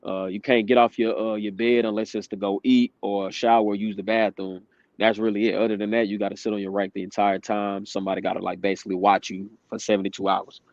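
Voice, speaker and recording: A man's voice: on the low side, level tone, fast talker, darkish tone, no room sound. A man in his twenties giving candid, casual testimony as a guest, laughing between points. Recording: dry dull lo-fi sound.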